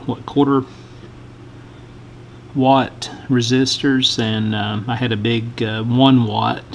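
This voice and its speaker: Man with an even tone, noisy recording. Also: mid-range voice. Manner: halting, unhurried, thinking aloud. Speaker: host of a radio repair channel. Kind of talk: informal hands-on repair walkthrough. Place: indoor electronics workbench.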